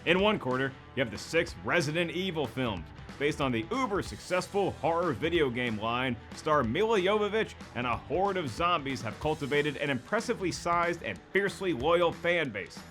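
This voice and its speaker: A man with a highish, forceful voice delivers scripted comedic hype as a show host. His pitch swings widely in a theatrical, tongue-in-cheek announcer manner, with music playing behind him. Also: lively intonation, normal speed, few pauses. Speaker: host of a movie face-off web show.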